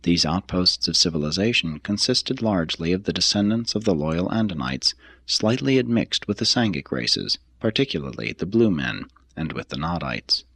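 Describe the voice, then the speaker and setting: Very deep, solemn male audiobook narrator. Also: animated, vocal fry, fairly bright tone, husky, dry recording, clean studio sound. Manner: measured, solemn, formal delivery. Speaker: reader. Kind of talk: scripted audiobook narration of a religious text.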